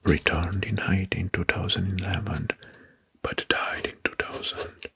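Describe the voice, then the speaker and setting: Slow, breathy whispering man. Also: deep voice, fairly even intonation. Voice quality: breathy, mostly unvoiced whisper. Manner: slow, deliberate, repeats names and words softly. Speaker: ASMR narrator. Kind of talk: whispered ASMR narration of history facts.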